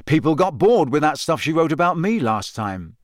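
A man voicing an earnest, sometimes impatient teenage boy in a raised, youthful pitch. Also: very animated, moderate pace, dry recording, clean studio sound. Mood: reassuring.

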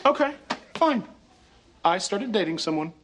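An actor in a sitcom, speaking in a sheepish, hesitant way. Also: male voice, high voice, very animated, a little slow. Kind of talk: sitcom acting. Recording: noisy sitcom audio with laughter.

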